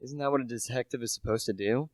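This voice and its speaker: A man's voice: mid-range voice, fast, a little mellow, dry recording, close to an everyday voice. A teenage male actor playing an earnest, humble British salesman in a radio play, chatty and a little hesitant. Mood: puzzled, admiring. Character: earnest, humble British relish salesman narrating a murder mystery.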